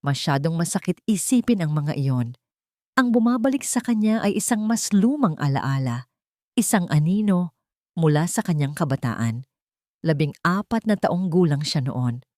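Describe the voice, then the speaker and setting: A woman's voice: low voice, animated, normal speed, warm tone, dry recording, clean studio sound. A woman narrating a dramatic scripted story with solemn, emotive delivery.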